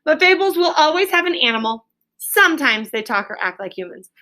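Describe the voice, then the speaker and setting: A woman's voice: high voice, big pitch swings, a little fast, sharp tone. A woman teaching a classroom reading lesson to children, explaining things simply, repeating key words and prompting pupils with questions. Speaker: elementary school teacher.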